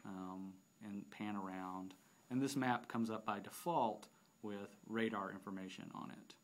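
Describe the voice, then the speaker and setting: A man narrating an unscripted software demonstration, explaining step by step with halting phrasing and repeated words. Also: on the low side. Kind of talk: unscripted app tutorial narration.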